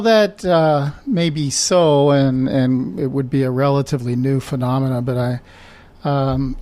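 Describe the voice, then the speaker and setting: A man's voice: mid-range voice, darkish tone. A middle-aged man from Guatemala, a physician guest in an interview, speaking in a hesitant way with many fillers and restarts. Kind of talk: conversational interview.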